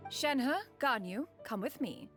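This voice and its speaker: A woman's voice: fairly high pitch, lively intonation, takes it slowly, loud music behind the voice. A woman voicing a proud, dignified immortal mentor in an anime game, speaking in a formal and haughty manner. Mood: composed. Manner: formal, haughty, calls herself "one". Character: proud, dignified immortal bird-sage mistress, female, fond mentor.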